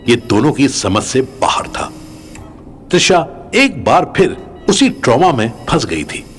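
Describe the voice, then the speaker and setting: A man narrating an audio drama in a scripted, dramatic storytelling style. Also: mid-range voice. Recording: music under the narration.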